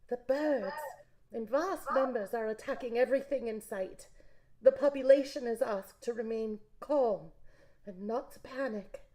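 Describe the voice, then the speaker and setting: An actress playing a grave, formal radio newsreader delivering a measured emergency bulletin in a radio drama. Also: female voice, a little high, mellow, close to an everyday voice, rough recording. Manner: measured, solemn, official.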